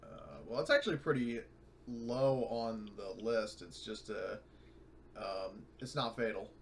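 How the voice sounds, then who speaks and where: Male tabletop player, average pitch with heavy fry, casual and hesitant, slight background noise. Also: a little slow. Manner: hesitant, checking rules aloud. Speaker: player in tabletop roleplaying game. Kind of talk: casual game-table chat.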